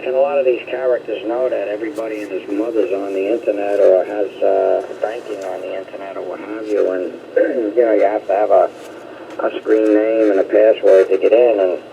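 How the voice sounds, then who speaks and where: Man, low creaky voice, folksy rambling chat, muffled ham radio, static. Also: fairly even intonation, moderate pace. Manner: folksy, colloquial. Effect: single-sideband radio. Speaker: amateur radio operator.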